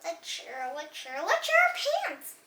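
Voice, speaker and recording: A woman voicing a feisty, eager little kindergarten girl in a storybook, loud and exclaiming. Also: sits high, huge pitch swings, measured pace, roomy sound, far from the mic, slight background noise, heavily acted.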